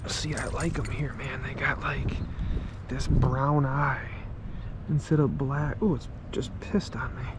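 Excitable fishing guy outdoors. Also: mid-range voice, a little slow. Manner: excited exclamations while reeling fish, chatty asides to viewers. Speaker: fishing vlogger. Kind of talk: casual first-person fishing commentary. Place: outdoors at a small pond bank. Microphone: head-mounted action camera. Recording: outdoor camera mic with noise.

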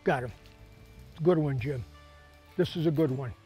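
A man's voice: on the high side, measured pace, dark tone, husky, faint music behind. An older man hosting a fishing show, talking casually in a folksy, emphatic way with repeated phrases, outdoors on a boat. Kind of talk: casual fishing commentary and lure talk.

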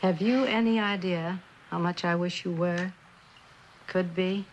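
Reserved, low-voiced mother played by a woman. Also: steady tone, measured pace, lo-fi sound, a little hiss or hum, close to an everyday voice. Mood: wistful. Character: reserved, wistful, probing well-to-do mother of missing daughter. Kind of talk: 1960s television suspense drama acting.

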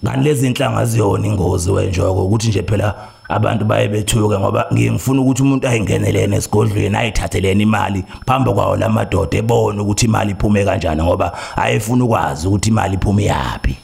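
A South African man voicing a solemn, slow-spoken traditional healer in a prank call, deliberate and hesitant as he repeats himself. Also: on the low side, gravelly, darkish tone. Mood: persuasive.

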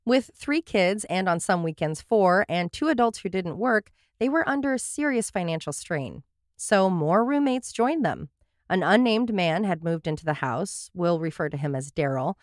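A woman's voice: fairly low pitch, expressive, moderate pace, no room sound, clean studio sound. A woman hosting a true crime podcast, reading her case notes with wry, sarcastic asides. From Portland area, USA.

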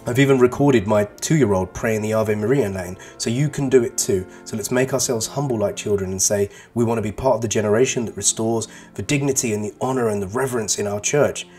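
East london teacher guy, arabic first language, earnest and persuasive, lowish voice, soft guitar behind. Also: quick pace, few pauses. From England. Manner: earnest, persuasive, emphatic repetitions. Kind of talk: voice-over religious lesson for pupils. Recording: voice-over with faint guitar music.

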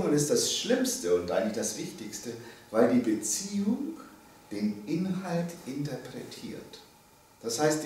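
A man in his early seventies giving a public lecture, speaking emphatically and deliberately, pausing for weight and putting questions to his listeners. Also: on the high side, darkish tone. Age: early 70s. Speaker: lecturer, theology professor. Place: indoor seminar room. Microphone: microphone on a stand. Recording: very echoey room, distant, faint noise.

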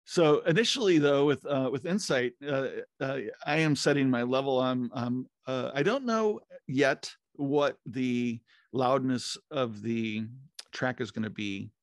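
A middle-aged man with a gravelly, fry-edged voice talks shop at an even pitch, pausing often on fillers and restarting his sentences. The sound is close and dry, with no room around it. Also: no room sound. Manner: rambling, many fillers and restarts. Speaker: podcast co-host. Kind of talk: casual technical chat. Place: home music studio. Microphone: studio mic with headphones, video call.

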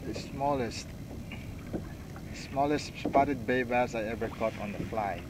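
A middle-aged man filming himself from a kayak on open water, calling out short excited reactions with whoops and laughter. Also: medium pitch, slow. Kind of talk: live reactions while fishing. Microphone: action camera mounted on kayak. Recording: wind and water noise.

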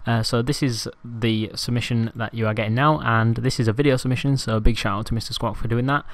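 A man's voice: mid-range voice, steady tone, fast, no room sound. A man hosting a beatbox channel, giving a casual, rambling and apologetic video intro.

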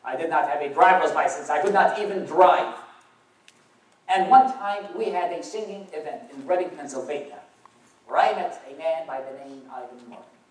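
A man's voice: a little high, moderate pace. Young man, Iraqi accent, wry storyteller; distant mic in a reverberant church. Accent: Iraqi Arabic. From Iraq. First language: Arabic. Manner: wry storytelling with dry humor. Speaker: guest speaker. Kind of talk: personal testimony talk. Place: church auditorium. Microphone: far camera across the room. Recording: roomy echo from far off.

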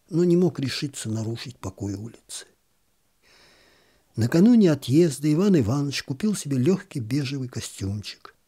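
A man's voice: mid-range voice, dark tone, husky. A man narrating a literary short story as an audiobook reader.

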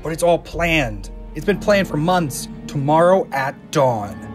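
A man's voice: a little high, expressive, a little slow, music in the background. A man playing a nervous, disgruntled employee in an audio drama, flustered and stammering. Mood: panicked, protesting. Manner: stammering, protesting.

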